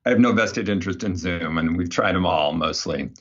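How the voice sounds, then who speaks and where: A man's voice: low voice, a little fast, highs cut off. A man speaking as an interview guest, telling his story in a casual, anecdotal way. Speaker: guest, behavior design researcher.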